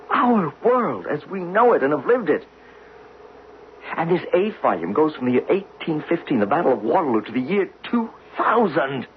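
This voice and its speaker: A man acting in a 1970s radio drama as a driven, learned geologist, speaking intensely and deliberately with theatrical pauses. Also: mid-range voice, lightly acted. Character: driven, learned geologist obsessed with solving a buried mystery. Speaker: actor in a radio play. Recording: dull, noisy 1970s radio recording.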